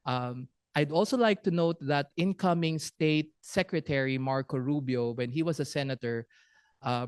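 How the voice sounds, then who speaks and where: A man giving a conference presentation in an analytical way, pausing often with fillers. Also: medium pitch, smooth, no room sound. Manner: measured, analytical, with frequent fillers. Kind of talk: academic presentation.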